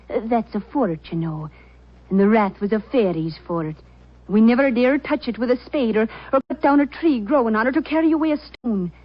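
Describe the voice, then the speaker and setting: A woman's voice: mid-range voice, lightly acted. An actress playing a superstitious, earnest Irish countrywoman who believes in fairies, with a folksy lilting way of storytelling in a 1940s radio drama. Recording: dull old 1940s radio recording.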